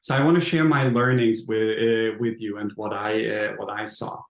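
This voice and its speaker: A man from Hungary with a Hungarian accent gives an informal conference presentation as a founder, in halting, repetitive phrasing. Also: lowish voice, steady tone. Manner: halting, hesitant phrasing with repeated words. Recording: roomy, echoing sound.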